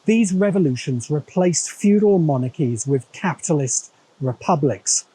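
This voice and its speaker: English man, mellow husky essay narrator. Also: a little high, lively intonation, measured pace, poor audio. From England. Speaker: video essay narrator and host.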